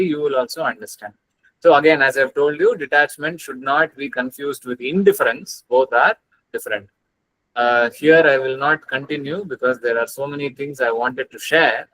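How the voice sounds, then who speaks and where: A man's voice: on the high side, a bit bright, no echo, rough recording. Earnest South Indian man giving a lecture. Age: young adult. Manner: earnest, explanatory, recites Sanskrit verses. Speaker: guest lecturer. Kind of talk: online lecture. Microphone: webcam on video call.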